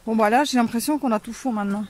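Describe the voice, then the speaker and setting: A woman's voice: a little high, fast, darkish tone. Woman chatting in a maze. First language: Russian. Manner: laughs often, puzzled and chatty. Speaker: vlogger. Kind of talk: casual chat while walking a maze. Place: outdoors in a hedge maze.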